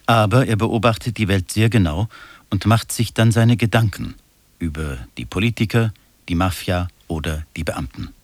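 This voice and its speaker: Male announcer, very low voice, dry studio sound, measured formal delivery. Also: no room sound. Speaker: radio announcer. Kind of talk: formal broadcast introduction.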